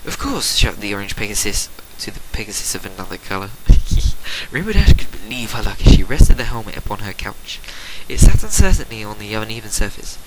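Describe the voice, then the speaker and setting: Animated man narrating over loud noise. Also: on the low side, fairly quick, few pauses. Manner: stumbling, self-correcting, amused. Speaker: amateur story reader. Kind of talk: dramatic reading of fan fiction, unrehearsed. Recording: loud background noise with clicks.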